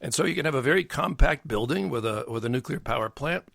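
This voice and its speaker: An older man with a bass voice, explaining as an interview guest in a halting way with many fillers and restarts. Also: lively intonation, a bit bright, no room sound, studio quality. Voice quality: gravelly bass voice. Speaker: guest, nuclear engineer. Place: dry podcast studio. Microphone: studio mic.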